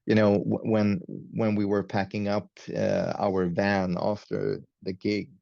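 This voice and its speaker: A man's voice: fairly low pitch, monotone, measured pace, vocal fry, a little mellow, no room sound, highs cut off. A man whose first language is Swedish, a metal musician chatting as an interview guest, hesitant with many fillers and restarts. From Sweden. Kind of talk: casual interview chat.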